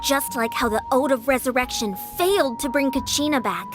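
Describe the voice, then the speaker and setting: A woman's voice: sits high, fairly quick, music in the background, close to an everyday voice. A woman playing an earnest young hero. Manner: earnest, questioning. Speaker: game character.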